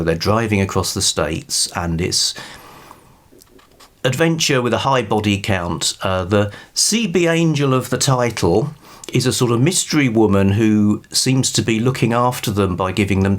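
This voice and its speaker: A man with a fairly low voice talks through a casual book review. He is chatty and rambling, thinking as he goes, and delivers his opinions with wry understatement.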